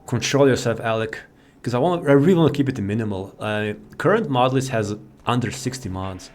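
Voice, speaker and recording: A man giving casual, rambling commentary as he shows off a modded game. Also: fairly low pitch. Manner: rambling, informal, easily sidetracked. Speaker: gaming video host. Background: faint game music.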